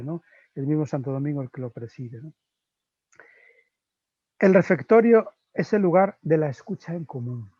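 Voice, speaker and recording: Reflective Spanish friar, slightly high voice. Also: male voice, a little mellow, no echo. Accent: Spain Spanish. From Spain. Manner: reflective, often adds "¿no?" and "eh" while searching for words. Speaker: Dominican friar giving a lecture. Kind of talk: spiritual conference talk. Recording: dull, lo-fi dry recording.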